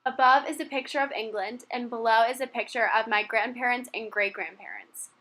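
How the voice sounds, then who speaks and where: Young woman, bright voice, fairly high pitch, steady read-aloud narration, school project. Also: fairly quick. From Rhode Island, USA. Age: young adult. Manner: steady, careful reading of a prepared script. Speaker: university student.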